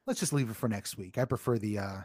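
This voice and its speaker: A man's voice: lowish voice, fast, darkish tone, dry recording. A man hosting a casual sports podcast who introduces segments and disagrees bluntly with his wry humor. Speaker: podcast host.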